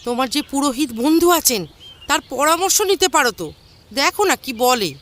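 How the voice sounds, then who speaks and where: Woman acting a anxious middle-aged wife, high voice, sharp bright tone, audio drama. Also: lively intonation, normal speed. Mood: caring, practical. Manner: anxious, concerned.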